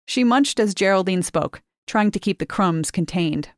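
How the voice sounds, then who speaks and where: A woman's voice: medium pitch, animated, moderate pace, no echo, studio quality. Woman reading a story aloud. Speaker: narrator. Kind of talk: audiobook fiction reading.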